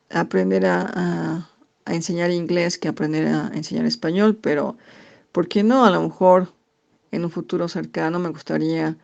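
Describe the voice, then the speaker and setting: Gravelly Mexican woman, halting answers. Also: fairly low pitch, a little mellow. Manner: thoughtful, halting with frequent fillers. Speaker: interviewee, English teacher. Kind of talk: answering interview questions. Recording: dull lo-fi recording.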